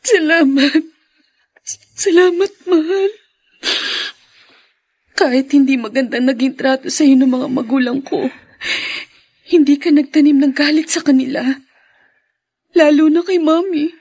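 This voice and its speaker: A woman's voice: high-pitched, unhurried, pauses often, a little mellow. A woman playing a grieving young wife in a Filipino radio drama, plaintive and emotional. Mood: grateful, tearful.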